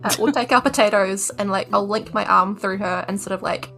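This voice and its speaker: A woman's voice: medium pitch, brisk, a bit bright, soft background music. A woman talking casually and tentatively as a player in an improvised tabletop game.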